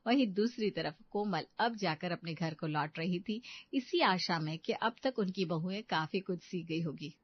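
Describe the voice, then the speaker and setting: A woman's voice: fairly low pitch, animated, a little slow, hardly any pauses, husky, highs cut off. A woman reading scripted narration for a children's story.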